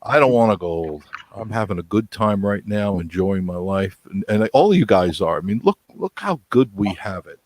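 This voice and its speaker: Sixties man, folksy talker, low voice, dry close sound. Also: animated, moderate pace, hardly any pauses, dry recording. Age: 60s. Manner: chatty, laughs often, folksy. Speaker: guest on a live chat stream. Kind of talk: casual chat.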